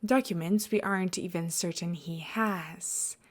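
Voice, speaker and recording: A woman's voice: fairly low pitch, a little slow. Woman voicing a terse, exacting male executive. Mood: skeptical. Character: terse, pointed male executive, hard to please.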